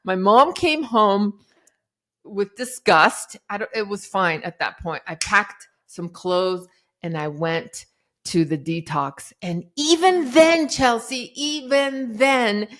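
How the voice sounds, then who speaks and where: A middle-aged woman, a life coach guest on a podcast, telling her story in a lively, dramatic way. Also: mid-range voice, takes it slowly. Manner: animated, dramatic retelling with acted-out quotes. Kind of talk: casual interview storytelling.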